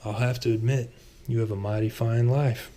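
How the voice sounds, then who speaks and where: A man voicing a blunt, bitter, stubborn swordsman in a storybook reading, curt and gravelly. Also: mid-range voice, steady tone, normal speed, mellow, natural voice. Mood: gruff. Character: gruff, bitter, stubborn swordsman, old friend.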